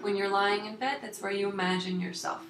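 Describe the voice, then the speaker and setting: Woman, hypnotherapist, calm and soothing, slightly tired voice, medium pitch, roomy echo. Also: background noise. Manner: soothing, unhurried, repeats words for emphasis. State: voice slightly deeper than usual, tired. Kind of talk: calm coaching talk about sleep hypnosis.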